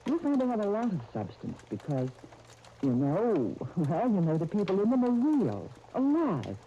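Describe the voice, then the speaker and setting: An actress playing a gentle, earnest guardian of young twins in a 1930s radio soap opera, speaking tenderly. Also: female voice, mid-range voice, huge pitch swings, normal speed. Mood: gentle, persuasive. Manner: tender, earnest, uses endearments. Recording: muffled, noisy 1939 radio disc recording.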